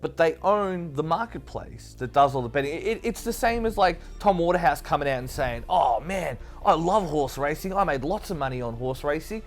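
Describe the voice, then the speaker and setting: Australian male co-host, slightly high voice, conversational news discussion over music. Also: animated, brisk, hardly any pauses. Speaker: co-host of a video game show.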